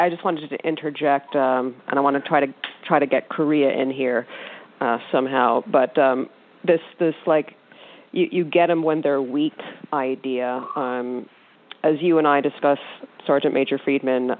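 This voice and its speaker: A man hosting a casual discussion, talking in a tentative, discursive way as he circles toward his points. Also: medium pitch. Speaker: interviewer, philosophy professor. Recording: narrow tinny phone-line sound.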